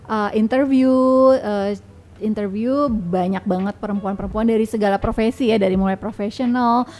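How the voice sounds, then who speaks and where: A woman's voice: medium pitch, moderate pace, a little mellow, a little hiss or hum. A woman with an Indonesian accent chatting casually and informally as a guest, dropping in English words. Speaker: guest sharing experiences and tips.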